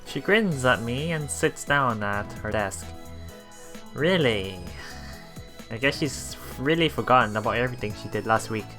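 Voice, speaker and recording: A man reading a visual novel aloud as a let's play streamer, haltingly, with repeats and self-corrections. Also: mid-range voice, lively intonation. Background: soft game music.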